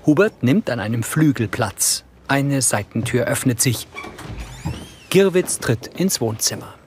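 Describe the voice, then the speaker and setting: A man's voice: mid-range voice, expressive, a little slow. A man reading scripted present-tense narration as an audio describer of a drama.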